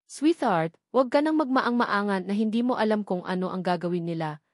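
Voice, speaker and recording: A woman's voice: mid-range voice, normal speed, dry recording, studio quality, close to an everyday voice. A woman narrator voicing a deceitful, exploitative male lover in an audio novel, cajoling and mocking. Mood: mocking coaxing.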